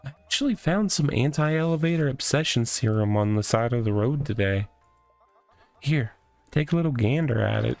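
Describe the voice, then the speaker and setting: A man's voice: medium pitch, lively intonation, normal speed, gravelly, dry recording, faint music behind. A man voicing an odd, rambling guy who lives in a box in a comic visual novel, talking absurd nonsense matter-of-factly. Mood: rambling, breezy.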